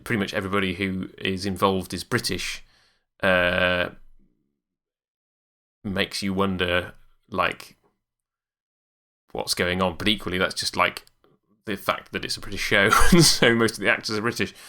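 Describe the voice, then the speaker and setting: A man's voice: low voice, level tone, unhurried, bright, forceful tone. A man co-hosting a podcast in casual, hesitant chat. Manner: hesitant, lots of ums and uhs. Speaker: podcast co-host.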